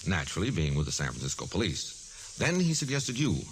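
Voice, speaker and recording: An actor playing an earnest, businesslike insurance adjuster in a 1940s radio drama, speaking in a deliberate, insistent way. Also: male voice, low voice, wildly swooping pitch, a bit bright, heavily acted. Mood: subdued, persuasive. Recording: noisy vintage 1940s radio recording.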